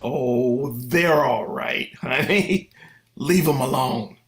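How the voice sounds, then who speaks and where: A man playing a gentle, easygoing father in a radio drama, with a hesitant, indulgent way of talking. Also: mid-range voice, extremely slow, a crowd in the background. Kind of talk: vintage radio drama acting. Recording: noisy track with clicks.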